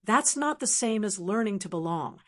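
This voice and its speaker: A woman's voice: mid-range voice, animated, normal speed, close to an everyday voice. A woman voicing a male teacher in an audiobook, pressing with earnest questions. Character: male language teacher, earnest, probing, protective.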